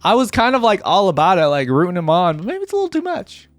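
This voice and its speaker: A man's voice: sits high, huge pitch swings, fast talker, no room sound. A man hosting a casual game reaction video, talking in an informal, slangy, effusive way. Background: music.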